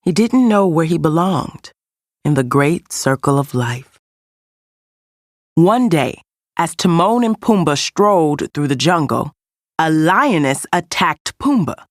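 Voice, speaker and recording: A woman reading a scripted children's read-along story as an expressive storyteller. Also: low-pitched, dry recording, clean studio sound. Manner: expressive storytelling with character voices. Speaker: storybook narrator.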